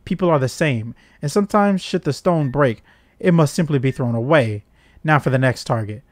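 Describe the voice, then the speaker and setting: A man's voice: mid-range voice, expressive, dry recording, lightly acted. Man putting on a cold, menacing villain commander. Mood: cold menace. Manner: measured, commanding. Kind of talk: voiced reading of game dialogue. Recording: game music behind.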